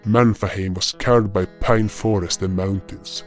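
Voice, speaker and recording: First-person fantasy narrator: male actor for dutiful spirit guardian, lowish pitch, measured, solemn delivery over guitar music. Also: fairly even intonation, lightly acted.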